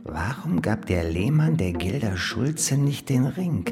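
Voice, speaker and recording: Radio drama: insistent, curt officer, lively intonation, prominent music underneath. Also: male voice, mid-range voice, slow pace. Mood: matter-of-fact. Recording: loud plucked music behind voice.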